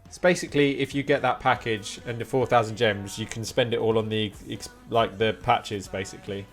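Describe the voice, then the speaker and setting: A man's voice: fairly low pitch, steady tone, a little fast. Man thinking aloud on a game stream over music. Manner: thinking aloud, questioning, reading menu items out. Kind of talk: casual live-stream commentary while playing. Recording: music playing behind. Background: guitar music.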